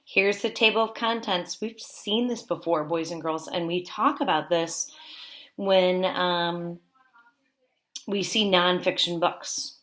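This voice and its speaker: A woman teacher reading aloud to young children in simple, deliberate phrasing, pausing to explain as she goes. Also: fairly low pitch, a bit bright. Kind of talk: read-aloud lesson with explanatory asides.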